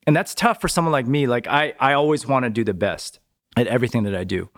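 A man talking as a podcast guest in a relaxed, chatty way, often tagging his points with a quick question. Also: mid-range voice. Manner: relaxed, chatty, tags sentences with "right?". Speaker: podcast guest, business owner. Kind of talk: casual conversational interview.

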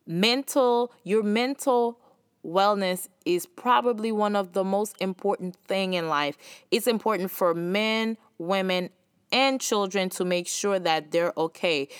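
Earnest woman with smooth, dry voice. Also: medium pitch, a little slow, no room sound. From Haiti. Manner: earnest, informal, many fillers. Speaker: speaker sharing faith and mental health advice. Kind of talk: casual faith-based talk.

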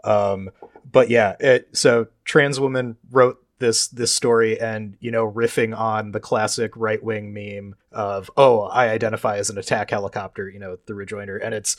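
A man's voice: lowish voice, fairly even intonation, moderate pace, no echo, studio quality. A man explaining at length in a rambling, emphatic way, swearing freely in casual chat.

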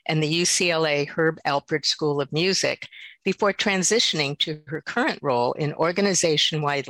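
A woman's voice: low voice, a bit bright, no echo, low-quality recording. An older woman co-hosting an interview show, reading a guest's bio and asking questions. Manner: reads the bio evenly, with short reactions. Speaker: podcast co-host.

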